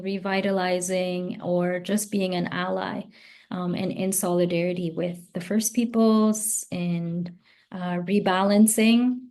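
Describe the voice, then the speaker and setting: A woman from Afghanistan hosting an online meeting, speaking thoughtfully with many pauses and ums. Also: medium pitch, darkish tone. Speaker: host and circle coordinator. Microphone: video call.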